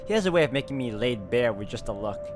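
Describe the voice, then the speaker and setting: A man hosting a gameplay video, reading visual novel narration aloud as an expressive storyteller and adding asides. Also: mid-range voice, fast. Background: music.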